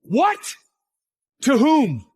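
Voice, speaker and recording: A man acts out an entitled rich hothead in a melodrama. His high voice swings widely as he accuses, drawing his words out in a dry recording. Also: expressive, unhurried, no room sound. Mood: outraged. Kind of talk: melodramatic drama acting.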